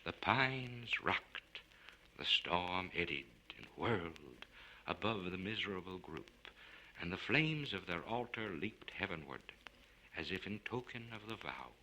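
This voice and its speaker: A man giving a theatrical, measured and formal narration of a literary short story. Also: low voice, sing-song, sharp tone. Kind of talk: scripted dramatic narration. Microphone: studio recording for LP. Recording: noisy vintage spoken-word record.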